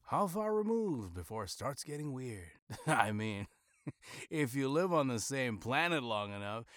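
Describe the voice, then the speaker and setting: A man's voice: mid-range voice, a little slow, dry recording. A man playing a chatty, freeloading friend who rambles and muses in an audio sitcom. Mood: musing. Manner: animated, rambling, musing. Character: chatty freeloading male friend obsessed with fandom gossip.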